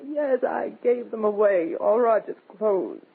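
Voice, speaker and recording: An actress playing a fragile, grief-stricken widow in an old radio drama, pleading and overwrought, on a tinny recording. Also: female voice, medium pitch, lively intonation, measured pace, vocal fry, breathy edge, heavily acted. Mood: distraught. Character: grief-stricken, worn-out widow of a party member. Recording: tinny band-limited vintage radio recording.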